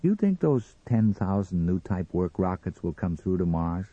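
A man voicing a sceptical, distrustful wife in an audiobook story, with a slow, plain-spoken manner. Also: sits low, sing-song, slightly rough, dry recording, phone-quality sound, exaggerated voice. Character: sceptical, distrustful, dry wife of stand owner. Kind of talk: dramatised audiobook character reading.

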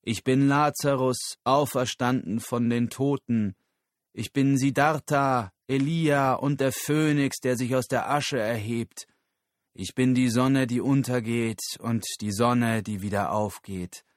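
A man's voice: mid-range voice, steady tone, takes it slowly, no room sound, clean studio sound, pitched above the performer's own voice, natural voice. A man voicing a grandiose messianic male guru who speaks in solemn, declamatory proclamations, in an audiobook story. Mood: triumphant, proclaiming.

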